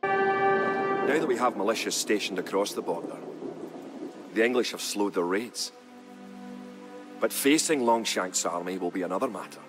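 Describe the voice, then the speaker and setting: An actor playing a grave, resolute medieval clansman, narrating a war campaign in a solemn, measured, dramatic style. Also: lowish voice, close to an everyday voice. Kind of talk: dramatic scripted storytelling narration. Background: music behind the voice.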